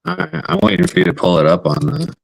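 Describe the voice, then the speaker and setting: Lively low-voiced man in casual chat. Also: creaky, dry recording, poor audio. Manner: easygoing, joking, quick reactions. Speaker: podcast co-host.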